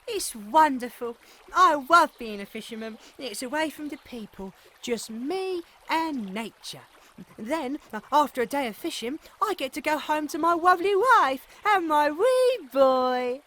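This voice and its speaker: A woman's voice: sits high, animated, measured pace, fairly bright tone. A woman voicing a humble, deferential old male gnome fisherman with a lilt in an audio drama. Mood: fond. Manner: fussy, deferential, says "madam" often.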